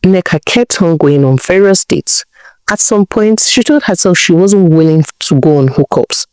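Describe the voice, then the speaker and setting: A woman's voice: lowish voice, expressive, normal speed, darkish tone, clear, resonant tone, no echo. Nigerian woman storyteller, dry close sound. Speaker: storyteller, narrator. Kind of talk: narrated folktale storytelling.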